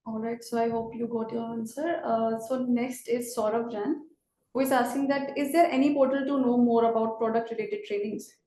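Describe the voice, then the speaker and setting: A woman's voice: a little high, fairly even intonation, normal speed, dark tone. A woman moderating a webinar, reading out questions from the audience. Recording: roomy, echoey webinar audio.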